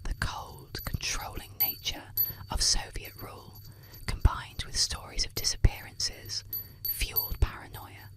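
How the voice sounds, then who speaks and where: Whispering woman telling eerie bedtime legends. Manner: slow, hushed, deliberate phrasing with pauses. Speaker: ASMR host and storyteller. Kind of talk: whispered ASMR scripted narration of eerie legends. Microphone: close-up microphone.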